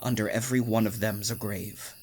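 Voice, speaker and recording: An actor playing a deranged, obsessive hotel keeper in a radio thriller, halting and softly insinuating with mock concern. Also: male voice, fairly low pitch, fairly even intonation, husky. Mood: unhinged, brooding. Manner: halting, softly insinuating, wide emotional swings. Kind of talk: old-time radio thriller acting.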